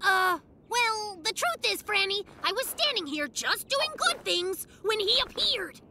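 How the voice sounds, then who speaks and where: A woman voicing a boastful, fibbing little boy bear cub in a children's cartoon, with excitable, dramatic delivery. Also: very high-pitched, measured pace, background noise, full character voice. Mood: sheepish fibbing. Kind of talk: children's cartoon dub acting. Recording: music behind the voice.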